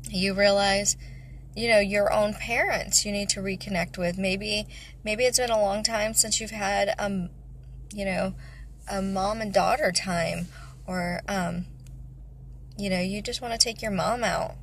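A woman's voice: mid-range voice, slight background noise. A woman in her thirties, a mother, chatting casually about faith and family into a phone in her car, rambling with many fillers. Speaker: vlogger, mother of five. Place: parked car, indoors of vehicle. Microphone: phone camera at arm's length.

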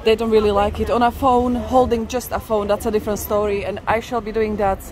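A woman's voice: mid-range voice, flat delivery, brisk, darkish tone. Chatty woman on a noisy street. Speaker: walking tour vlogger. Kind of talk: casual live narration while walking. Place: busy city street outdoors. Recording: outdoor street noise with traffic. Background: urban ambience, passing vehicles.

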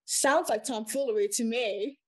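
Woman as high-voiced, coy 1940s ad girl. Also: normal speed, lightly acted. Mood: breezy. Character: breezy, coy 1940s female singing-trio cigarette spokeswoman. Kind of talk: 1940s radio ad acting, comedic.

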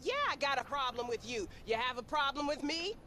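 A woman's voice: high-pitched, a little fast, sharp tone, a little hiss or hum. A woman playing a hostile, foul-mouthed arms dealer in a video game cutscene, suspicious, sarcastic and confrontational. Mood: angry.

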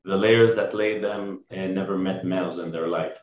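A middle-aged man presenting a business pitch and answering questions over a video call, explaining in measured wording. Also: fairly even intonation. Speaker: startup chief executive presenting to investors. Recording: dull, roomy video-call sound.

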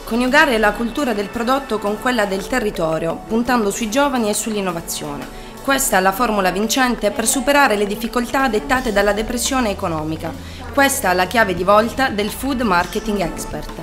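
A woman's voice: medium pitch, expressive, moderate pace. A woman around her thirties working as a reporter, delivering scripted news narration and interview questions. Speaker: reporter and presenter. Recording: prominent music bed. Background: music.

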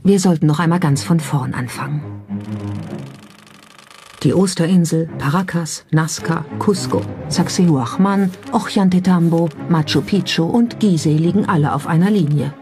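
Documentary narrator, medium pitch, scripted delivery over prominent music. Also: moderate pace. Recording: music behind the voice. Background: background music.

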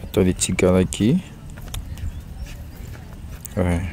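A man with a Congolese French accent talks in a loose, repetitive way, full of fillers, as he films a building job. His voice sits fairly low. Heavy outdoor noise from the camera mic runs under everything. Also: animated, takes it slowly. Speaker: channel host narrating a building job. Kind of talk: casual running commentary on site. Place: outdoors on a rural building site.

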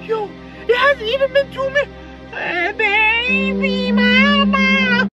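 A man putting on a strained, forced, high-pitched voice as a grown man turned into an excitable, whiny baby. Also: slow. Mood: disgusted. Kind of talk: 3AM challenge video clip. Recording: lo-fi distant audio with loud music.

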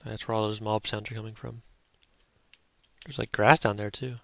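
A young man giving relaxed, chatty gameplay commentary, thinking aloud as he plays. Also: lowish voice, normal speed, vocal fry, dry recording, highs cut off. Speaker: gaming YouTuber.

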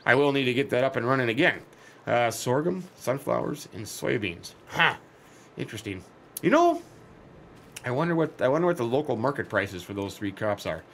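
A middle-aged man with a slightly hoarse, scratchy voice, rambling folksy commentary as he plays a farming game. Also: mid-range voice, expressive, a little fast, fairly bright tone. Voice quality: scratchy, cracky throat. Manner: rambling, folksy, chatty asides. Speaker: let's play host.

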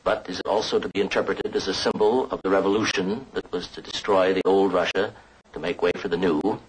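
An older man presenting an educational film in a deliberate, scripted lecture with dramatic emphasis. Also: deep voice, fairly even intonation, slight background noise, low-quality recording. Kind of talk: measured scripted lecture to camera.

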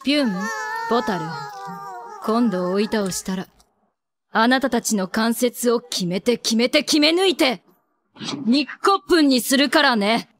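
A woman's voice: on the high side, expressive, a little slow, pauses often, a bit bright, a little hiss or hum. A woman voicing a cold, merciless teenage princess in an anime, imperious, taunting and grandiose. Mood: threatening. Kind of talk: anime voice acting.

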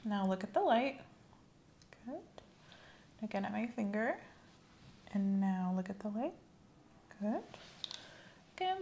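Soft-spoken woman with creaky voice, ASMR exam. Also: medium pitch. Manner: gentle, measured, clinical, often dropping to a whisper. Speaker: ASMR roleplayer acting as medical examiner. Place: small indoor room set up as an exam office. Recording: faint background noise.

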